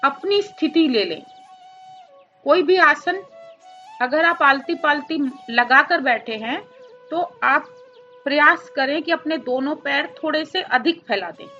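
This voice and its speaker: A woman with a high, bright voice leads a guided meditation. She gives gentle instructions in short phrases with pauses. The recording is somewhat dull and lo-fi. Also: faint music behind. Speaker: meditation teacher.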